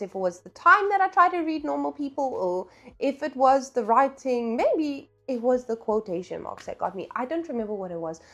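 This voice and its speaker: Casting: woman book vlogger, medium pitch, informal and chatty, playful self-mocking delivery, light music bed. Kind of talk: casual chatty vlog talk to camera.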